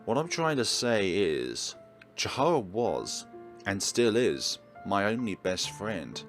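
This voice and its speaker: Man acting awkward, anxious teenage protagonist, lowish voice. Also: sing-song, soft background music, heavily acted. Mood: anxious, earnest. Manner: hesitant, halting, stammering. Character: awkward, anxious, earnest high school protagonist.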